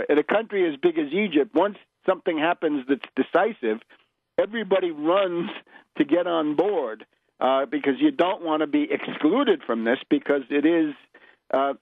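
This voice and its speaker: A male radio host giving unscripted political commentary in an emphatic, opinionated manner, stressing his phrases deliberately. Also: fairly high pitch, dry recording, phone-quality sound.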